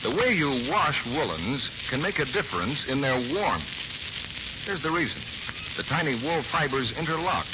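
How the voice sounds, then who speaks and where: A man's voice: medium pitch, very animated, normal speed. An American man announcing in scripted 1940s radio style, with a polished, emphatic sales delivery. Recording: muffled, hissy 1940s radio recording.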